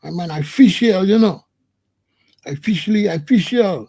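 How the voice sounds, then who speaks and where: A man's voice: high voice, expressive, normal speed, gravelly, dark tone, husky, exaggerated voice. A man voicing a boastful, tough-talking rasta with a put-on Jamaican patois, full of repeated tags. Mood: defiant. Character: swaggering tough male rasta, boastful, no-nonsense. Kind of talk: comic impression.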